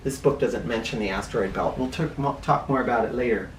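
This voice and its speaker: A man's voice: medium pitch, a little fast. Echoey male storyteller reading to children. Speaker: host reading a children's picture book aloud. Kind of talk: read-aloud storytelling with teaching asides. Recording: roomy echo, distant mic, faint noise.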